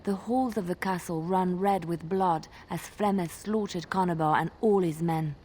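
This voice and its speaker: An actress playing a traveling minstrel in a fantasy game, telling tales in a measured, suspenseful and lyrical way. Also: female voice, fairly low pitch, a little mellow, a little hiss or hum, natural voice. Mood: dark, suspenseful. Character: female traveling minstrel, soft-spoken, wistful storyteller. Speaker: game companion character. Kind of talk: dramatic storytelling in a fantasy game.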